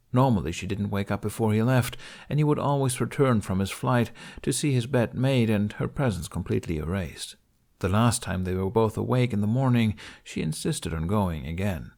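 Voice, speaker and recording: A man's voice: lowish voice, darkish tone. A man reading scripted fiction as an audiobook narrator.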